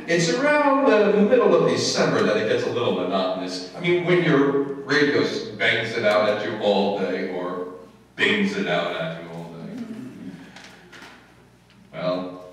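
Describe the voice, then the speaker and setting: A man's voice: mid-range voice, lively intonation, unhurried, long pauses, a bit bright. A man playing a long-suffering, sarcastic husband who narrates an old-time radio comedy on stage. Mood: dry narrating. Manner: dry, sarcastic. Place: live stage in an indoor hall, distant camera. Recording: echoey noisy hall, far from microphone.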